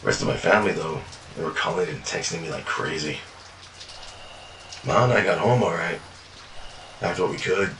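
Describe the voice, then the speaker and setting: Male narrator, very low voice, weary storyteller who curses; roomy, distant sound with background noise. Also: moderate pace, pauses often, a bit bright. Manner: weary, world-worn storytelling with frequent swearing. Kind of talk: first-person fiction journal narration. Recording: echoey room, distant mic, noisy.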